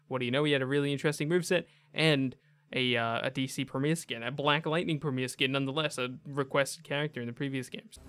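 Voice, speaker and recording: A man's voice: mid-range voice, steady tone, quick pace, a bit bright. A young man hosting a gaming video with casual, enthusiastic, slangy commentary. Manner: chatty, slangy, effusive.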